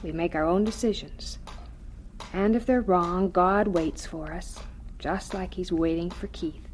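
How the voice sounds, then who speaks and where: A woman playing a gentle, devout mother in a radio drama, speaking in a tender, soothing, earnest way. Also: fairly low pitch, unhurried, a little hiss or hum, close to an everyday voice.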